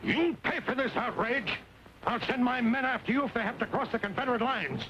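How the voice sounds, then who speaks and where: A man's voice: extremely high pitch, lively intonation, a little fast. A man playing an indignant, blustering Union Army colonel in an old western film. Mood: outraged. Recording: noisy old film soundtrack.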